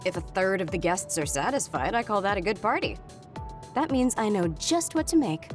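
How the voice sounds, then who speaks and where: A woman voicing a lazy, nonchalant young female soldier in an anime game, with a deadpan manner. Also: mid-range voice, moderate pace, soft background music, natural voice. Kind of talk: anime video game dub acting.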